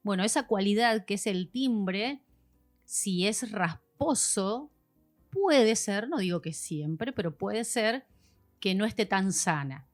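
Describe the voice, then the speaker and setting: A woman's voice: mid-range voice, lively intonation, slow pace, soft background music. A woman, a voice specialist guest explaining patiently in a podcast chat, like a teacher. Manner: patient, teacherly, acts out examples.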